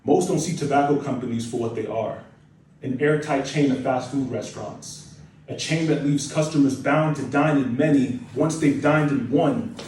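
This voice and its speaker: A young American man reciting spoken word poetry with dramatic, deliberate phrasing and emphatic repetition. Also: medium pitch, a little mellow. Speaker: spoken word poet, nursing student. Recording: echoey room, distant mic, faint noise.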